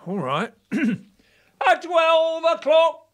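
A woman's voice: very high voice, big pitch swings, extremely slow, heavily acted. A woman playing a hesitant, eager-to-please male night watchman in a comic audio play. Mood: proud announcing. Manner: unsure, questioning, easily led.

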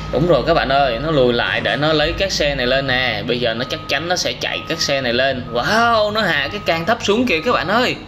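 A man with a fairly high voice narrates game action like a story for kids, full of exclamations. He speaks in simple phrases as a reaction host. The recording is clearly noisy, with vehicle sounds behind him. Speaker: host of gaming reaction videos for children. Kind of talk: excited storytelling commentary over game footage.

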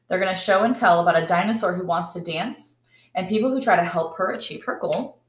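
A woman, reading a picture book aloud and putting questions to children in a teacherly way. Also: on the low side. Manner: expressive, teacherly. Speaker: read-aloud storyteller. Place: kitchen or dining area at home, indoors. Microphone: laptop or webcam at arm's length. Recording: roomy home webcam sound.

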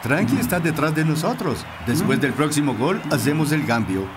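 A man's voice: fairly high pitch, lively intonation, normal speed, noisy background, close to an everyday voice. A man voicing a confident, scheming rival soccer player in a cartoon. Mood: sly, confident.

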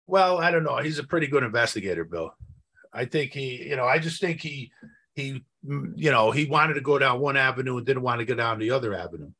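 A man's voice: mid-range voice, animated, a little fast, pushed and bright. A man co-hosting a podcast, talking through history in an insistent, questioning, conversational way. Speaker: podcast co-host.

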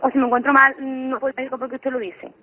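A woman's voice: sits high, little pitch movement, rapid-fire. A young adult woman from Córdoba, Spain, in an unscripted, indignant phone conversation. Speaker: prank call target at work in a shop. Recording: dull, band-limited phone call.

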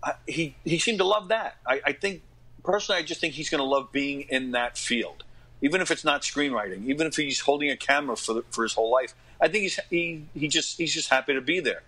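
Bright-voiced middle-aged man, earnest and colloquial. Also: fairly high pitch, a little fast, husky, poor audio. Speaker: podcast guest, actor and comedy radio host. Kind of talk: casual interview talk.

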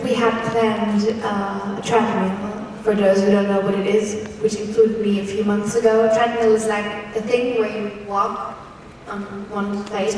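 A woman's voice: fairly high pitch, slight background noise. A young woman from Austria, chatting loosely as a singer in a press interview, with many restarts. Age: young adult. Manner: chatty, rambling, with frequent restarts and laughs. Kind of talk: casual press interview answers. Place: large press hall, indoors. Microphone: handheld mic. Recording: echoey hall, mic from far off, faint music.

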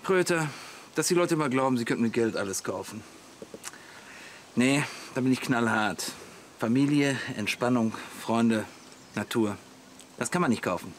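Actor as blustering husband, very animated. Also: male voice, medium pitch, moderate pace, pauses often, a little hiss or hum, natural voice. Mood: self-important. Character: stubborn, boastful middle-aged husband and car mechanic. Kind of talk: German audio drama dialogue.

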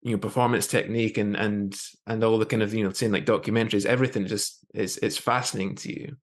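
A man in his thirties hosting a conversational interview over a video call, rephrasing haltingly as he thinks. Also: on the low side, steady tone. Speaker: podcast host. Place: small plain room indoors. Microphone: video call with earphones.

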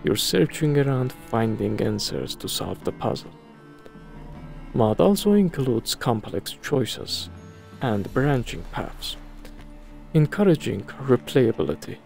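A man's voice: medium pitch, big pitch swings, takes it slowly, gravelly, a little mellow. A man presenting a game video in scripted review narration. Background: background music.

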